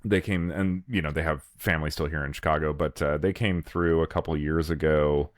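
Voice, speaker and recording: Low-voiced American man chatting, hesitant. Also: fairly even intonation, a little fast, dry recording, clean studio sound. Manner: hesitant, profane, easy laugh. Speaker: podcast co-host, writer. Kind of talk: casual chat.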